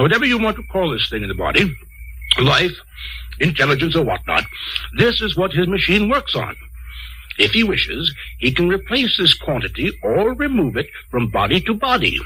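A jittery, timid doctor in a vintage radio drama. He is hesitant and fussy, with a very sharp, animated voice on a noisy old broadcast recording. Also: fairly high pitch, normal speed, heavily acted. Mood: fussy, reassuring. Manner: hesitant, repeats words, fussy.

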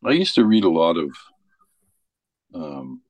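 A man's voice: low voice, sing-song, unhurried, darkish tone, no room sound, highs cut off. A middle-aged man in casual, informal chat, rambling along in a folksy way. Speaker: radio show presenter.

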